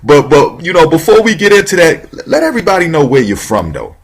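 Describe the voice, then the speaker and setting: A man's voice: on the high side, very animated, quick pace, breathy edge. A man hosting a talk show, rambling with lots of restarts and casual slang. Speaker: radio show host.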